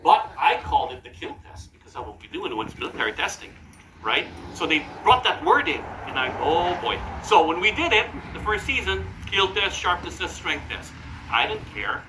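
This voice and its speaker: Filipino guy telling stories at a fan q&a, slightly high voice, acts out the quotes, echoey room, far from mic. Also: moderate pace. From Philippines. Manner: animated storyteller, laughs often, acts out quoted lines. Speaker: TV show judge at fan Q&A. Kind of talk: storytelling to a live audience. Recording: echoey, distant, with background noise.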